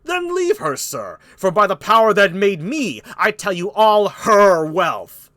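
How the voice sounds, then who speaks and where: A man playing a bitter, wrathful old king in a stage tragedy, declaiming slowly and emphatically with pauses. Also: medium pitch, very animated, bright, forceful tone, dry recording, clean studio sound, exaggerated voice. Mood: scornful.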